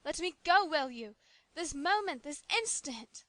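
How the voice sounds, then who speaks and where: A woman reading an audiobook, voicing a distressed young woman who pleads in a higher voice. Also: lively intonation, unhurried, a bit bright, dry recording. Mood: desperate. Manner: pleading, desperate.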